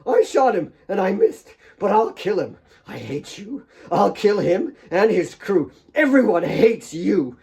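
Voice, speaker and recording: Man acting a shrill hysterical young woman, full of hate. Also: big pitch swings, unhurried, creaky, few pauses, darkish tone, full character voice. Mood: venomous. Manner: shrieking, spitting words out in broken repeats. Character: hysterical, grief-stricken, hate-filled young woman, small and frantic.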